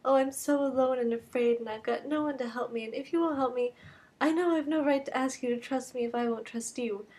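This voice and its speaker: A woman's voice: a little fast, a little mellow. A woman voicing a desperate, theatrical young woman who begs and implores in a dramatic reading of a novel. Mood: pleading. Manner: breathless, imploring, rising pitch.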